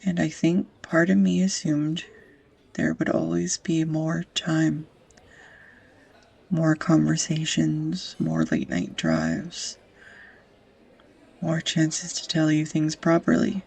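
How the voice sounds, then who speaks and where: A young woman acting a tender, easily flustered sweetheart in an intimate romantic audio roleplay, with a husky, breathy, hesitant voice. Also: deep voice, expressive, slow, pauses often, mellow, exaggerated voice. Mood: tender, wistful. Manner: hesitant, confessional, breaking into whispers.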